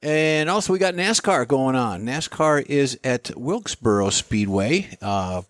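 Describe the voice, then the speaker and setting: A man's voice: medium pitch, animated, unhurried, a bit bright, no echo, studio quality. An American man co-hosting a radio show in a casual, chatty style. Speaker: co-host giving racing calendar.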